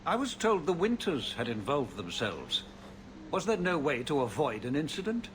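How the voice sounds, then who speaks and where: A careworn ruler and father speaks formally over clear background noise. His delivery is stately and cautious with wide swings of pitch, in the manner of fantasy game drama. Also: sing-song, moderate pace. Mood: worried, reproving. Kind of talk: fantasy video game acting. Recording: loud ambient noise behind.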